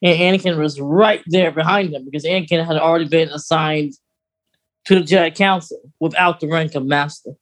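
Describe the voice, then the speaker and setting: A woman's voice: low voice, a little fast. Woman chatting as a podcast co-host. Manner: conversational, hedging, adds asides and details. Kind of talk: casual, detailed fan discussion.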